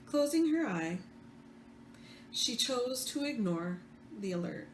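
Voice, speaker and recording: A woman reading fiction aloud as the author, expressive, with the odd stumble. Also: on the high side, slow pace. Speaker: author reading own book. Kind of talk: read-aloud fiction narration. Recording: roomy echo, faint background noise.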